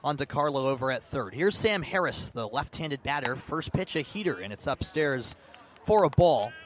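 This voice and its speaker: A man doing live baseball play-by-play commentary, narrating pitch by pitch in short, clipped phrases. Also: expressive, moderate pace. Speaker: play-by-play announcer. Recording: clear outdoor ballpark background noise. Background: outdoor ambient noise.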